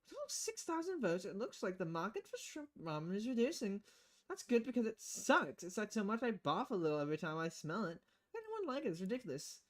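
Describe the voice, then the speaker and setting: A woman's voice: medium pitch, very animated, quick pace. A woman streaming a visual novel, reading the dialogue aloud with casual, playful commentary and switching voices between lines.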